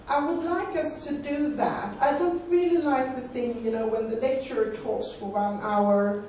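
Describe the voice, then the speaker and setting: A Swedish-accented woman in her forties gives a casual lecture, doubling back on words as she looks for the right one in English. Her voice sits fairly high. It was recorded from well back in a very echoey hall. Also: a little mellow, far from the mic, slight background noise. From Sweden. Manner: conversational, repeats words while searching for them. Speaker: sex educator. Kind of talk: live lecture to an audience.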